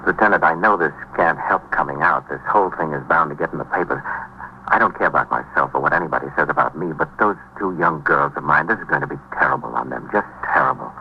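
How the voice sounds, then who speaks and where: Shaken american businessman suspect, super deep voice, hesitant n polite, muffled old radio sound. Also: soft background music. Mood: anguished, pleading. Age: middle-aged. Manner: hesitant, polite, halting answers. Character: shaken, evasive middle-aged married businessman under questioning. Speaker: murder suspect in a radio drama. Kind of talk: 1950s radio acting. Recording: muffled, faintly hissy 1950s radio recording.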